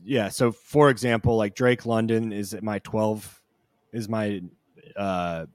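A man co-hosting a casual fantasy football podcast, blunt and profane and quick to joke. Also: fairly low pitch, fairly even intonation, darkish tone, no echo, studio quality. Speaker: podcast co-host.

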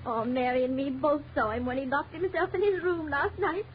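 Actress as high-voiced fretful household woman. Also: brisk, natural voice. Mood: anxious, earnest. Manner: fretful, earnest. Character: anxious, dutiful woman of the squire's household. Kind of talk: 1930s radio drama acting. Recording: dull, very noisy 1930s radio recording.

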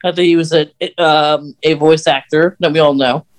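A man's voice: on the high side, steady tone, normal speed. A man hosting a podcast, talking in a casual fan-chat style. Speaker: podcast host.

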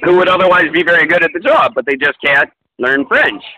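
A man's voice: fairly high pitch, very animated, a little fast, pushed and bright, highs cut off, slight background noise. A man in casual podcast chat, talking in a rambling, self-deprecating way with many fillers and restarts. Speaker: podcast co-host.

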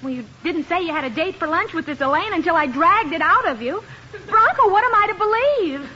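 An actress playing a hurt, sarcastic young housewife in a 1950s radio comedy. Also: female voice, extremely high pitch, expressive, brisk, bright, forceful tone, full character voice. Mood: indignant, sarcastic. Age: young adult. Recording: muffled, hissy 1950s radio recording.

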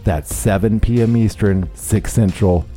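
A man hosting a show, reading a deliberate promo for it. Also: lowish voice, dark tone, soft background music. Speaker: show host. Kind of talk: promo read.

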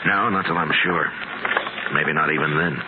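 An actor playing a steady, wary frontier lawman with an understated, unhurried manner in a 1950s radio western. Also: rumbling low, very noisy. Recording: narrowband old radio recording with background voices.

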